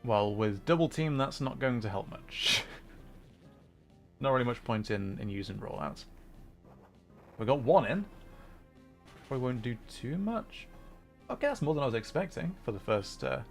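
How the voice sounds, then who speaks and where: A man's voice: mid-range voice, lively intonation, normal speed, long pauses. Man livestreaming over background music. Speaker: livestream host, VTuber gamer. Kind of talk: casual chat mixed with game commentary.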